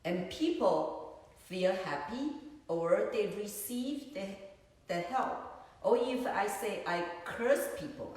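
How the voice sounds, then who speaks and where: A woman gives a Buddhist dharma talk at a slow, patient pace, pausing to search for words. She is recorded on a phone set on a tripod some distance away. Her voice bounces around a very echoey room with a long reverb tail. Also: medium pitch, a little mellow. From Taiwan. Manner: unhurried, searching for words, many "you know"s. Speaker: Buddhist teacher. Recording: echoey room, far from mic.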